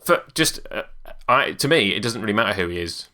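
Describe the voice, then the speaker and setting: A man's voice: on the low side, animated, fairly quick, bright, forceful tone. A man co-hosting a podcast in casual, hesitant chat. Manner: hesitant, lots of ums and uhs. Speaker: podcast co-host.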